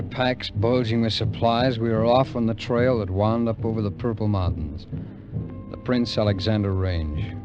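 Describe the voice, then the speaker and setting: A man's voice: on the low side, natural voice. Man acting a hard-boiled American adventurer, muffled radio. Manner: terse, wry, clipped storytelling. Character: weary hard-boiled adventurer Johnny, wary and tempted. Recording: muffled vintage radio recording with music.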